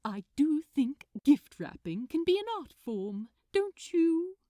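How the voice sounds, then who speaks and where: A woman's voice: sits high, very animated, takes it slowly, dark tone, dry recording, studio quality, pitched above the performer's own voice, full character voice. A woman voicing a sweet, polite, prim and cheerful man with a genteel manner in a fan fiction audiobook. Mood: pleasant, chatty.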